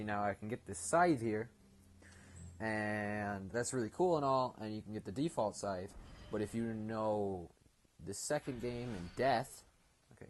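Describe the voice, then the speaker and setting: Male let's player, lowish pitch, informal rambling explainer, clearly noisy home recording. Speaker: gaming video host. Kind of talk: casual let's play commentary.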